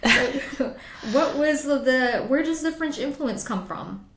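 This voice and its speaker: A woman's voice: high voice, animated, slow pace, fairly bright tone. Woman podcast host, eager and chatty, roomy echo with faint noise behind. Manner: enthusiastic, chatty, laughs easily. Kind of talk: casual interview chat.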